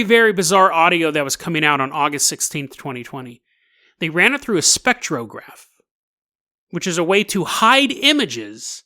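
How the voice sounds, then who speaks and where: A man hosting a podcast, telling conspiracy stories casually and jokily, with animated, drawn-out emphasis and an amused manner. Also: high voice. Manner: jokey, self-amused, chuckles through his points. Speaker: podcast host. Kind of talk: casual solo storytelling about conspiracy theories.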